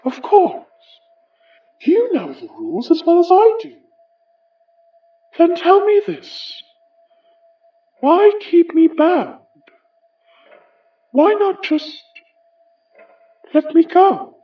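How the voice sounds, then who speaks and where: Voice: very high voice, sing-song, full character voice. An actor voicing a sinister, mocking ghost in a horror audio drama, speaking in taunts. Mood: coaxing. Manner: slow, mocking, swooping delivery. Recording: dull, distant sound.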